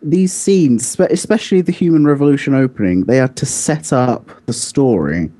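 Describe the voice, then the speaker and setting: A man's voice: medium pitch, lively intonation, moderate pace, dark tone. A man co-hosting a podcast, talking casually in a back-and-forth discussion of video games. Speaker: podcast co-host.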